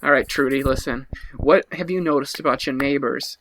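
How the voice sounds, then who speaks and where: A man's voice: medium pitch, fairly even intonation, normal speed, a bit bright, slight background noise, lightly acted, low-quality recording. A man improvising an exasperated police detective questioning a witness in a comedy scene, stammering, incredulous and impatient. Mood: probing.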